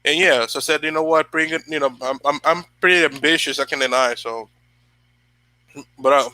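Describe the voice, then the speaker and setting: A man's voice: on the high side, normal speed, pushed and bright, no echo, low-quality recording. A young man from the Dominican Republic hosting a casual motivational livestream, rambling with many fillers and restarts while he answers viewers. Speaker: channel host.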